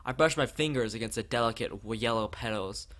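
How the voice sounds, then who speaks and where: A man's voice: mid-range voice, a little fast, a bit bright. A man from the United States, a let's play streamer, reading a visual novel aloud.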